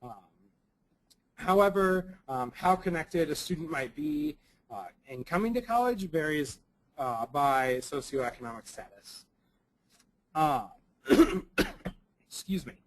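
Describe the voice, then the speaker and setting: Young male presenter, slightly raspy from a cold, halting delivery full of ums and restarts. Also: a little high, lively intonation, rough recording. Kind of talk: recorded conference presentation.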